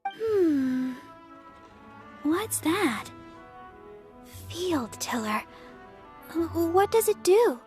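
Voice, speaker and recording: A woman's voice: very high voice, very animated, extremely slow, pauses often, darkish tone, faint music behind, heavily acted. A woman playing a sweet, earnest young church member in a video game, polite, bubbly and helpful. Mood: puzzled, explaining. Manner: polite, bubbly, thinks aloud. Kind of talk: video game character acting.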